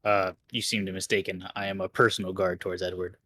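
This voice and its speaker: A man voicing a stern male personal bodyguard who speaks firmly and deliberately. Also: low voice, flat delivery, bright, forceful tone, slight background noise.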